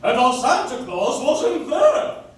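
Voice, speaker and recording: Man acting an irate high-pitched boss, echoey. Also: big pitch swings, slow pace, exaggerated voice. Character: irate, bossy department store owner. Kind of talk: live stage radio play. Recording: echoey, distant audience recording with noise.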